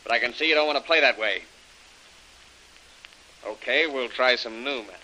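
A man's voice: medium pitch, moderate pace, sharp tone, a little hiss or hum, rough recording. An actor playing a menacing male villain in an old radio drama, talking in hard-boiled, clipped threats. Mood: threatening. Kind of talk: 1930s radio acting.

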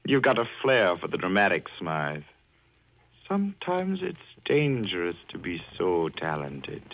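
A man's voice: deep voice, wildly swooping pitch, a little slow, dull audio, heavily acted. An actor playing a ruthless, starving castaway who becomes a murderer and narrates his own story in 1940s radio drama style. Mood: scheming. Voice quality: hard-edged, brooding first-person narration. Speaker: narrator and lead character. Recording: hissy old radio broadcast recording.

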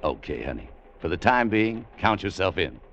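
Cool, sardonic American tough guy, very low voice with swooping delivery, vintage adventure radio, slightly noisy. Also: sing-song, normal speed, a bit bright, dull audio. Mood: placating. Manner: sardonic, casual, slangy. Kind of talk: 1940s radio drama acting, first-person narration.

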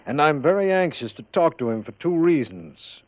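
A skeptical American prosecutor with lively, swooping intonation, formal and emphatic in an old radio mystery. The voice is close and dry on a dull vintage recording. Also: male voice, a little high, moderate pace, dry recording. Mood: earnest, concerned. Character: earnest, skeptical male district attorney. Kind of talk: 1950 radio drama acting.

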